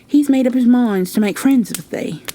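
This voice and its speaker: A woman's voice: a little high, moderate pace, mellow, slight background noise, pitched above the performer's own voice, lightly acted. Woman voicing a surly old Yorkshire gardener. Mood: gruffly amused. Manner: gruff, blunt dialect speech. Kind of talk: storybook character reading.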